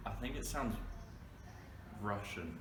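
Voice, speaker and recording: A man answering interview questions quickly, hedging with fillers. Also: fairly low pitch, animated, very noisy. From Somerset, England. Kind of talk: casual street interview answers. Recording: echoey space with loud background music.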